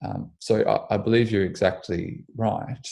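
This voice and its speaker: A young Australian man, a physiotherapist, answering interview questions in a measured way, slightly croaky in the morning, restarting his sentences often. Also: on the low side, steady tone, mellow. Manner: measured, with frequent restarts and ums. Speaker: physiotherapist guest.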